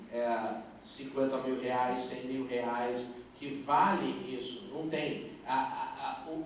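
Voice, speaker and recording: A middle-aged male pastor answering questions at a youth service, earnest and halting, with many fillers and restarts. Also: medium pitch. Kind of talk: live Q&A at a youth church service. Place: large church hall. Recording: echoey hall, distant mic, faint noise.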